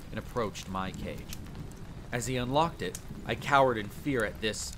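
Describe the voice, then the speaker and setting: A man voicing a vampire hunter who theatrically recounts his dark boyhood by a campfire in an audio roleplay, with wry asides. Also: background noise, close to an everyday voice. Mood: haunted. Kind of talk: dramatic first-person storytelling, audio roleplay. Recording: steady rain and rumbling storm ambience. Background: rain and rolling thunder.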